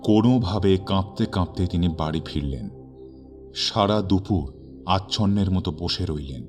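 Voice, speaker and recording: Man, dramatic story narrator, low voice, suspenseful measured phrasing, soft background music.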